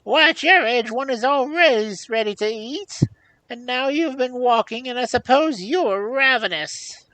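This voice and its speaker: A woman's voice: fairly high pitch, lively intonation, measured pace, a bit bright, no room sound, pitched above the performer's own voice, low-quality recording. A woman voicing a miserly old hag in a storybook, grumbling, sarcastic and mocking as she haggles. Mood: mocking, grudging. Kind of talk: storybook character voice.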